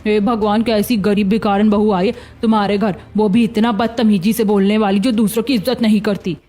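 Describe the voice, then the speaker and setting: A woman's voice: medium pitch, steady tone, normal speed, dark tone, lightly acted. A woman puts on a middle-aged busybody neighbour from a cartoon. She is scornful and taunting, with music behind her words. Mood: indignant, scornful. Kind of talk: Hindi cartoon story voice acting.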